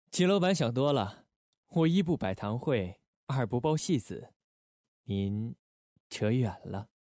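An actor playing a suave, calculating male intelligence station chief in a Republican-era spy radio drama, speaking in a smooth, measured, teasing manner. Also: mid-range voice, animated, darkish tone, dry recording, clean studio sound.

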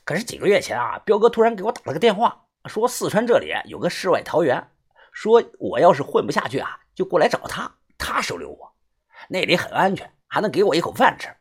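Man voicing a desperate middle-aged fugitive, high strained voice, stammering, northeastern accent, swearing. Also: very animated, fairly quick, slightly rough, exaggerated voice. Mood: stammering, wheedling. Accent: Northeastern Chinese. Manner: stammering, pleading, shouting curses. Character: panicked middle-aged male fugitive, foul-mouthed.

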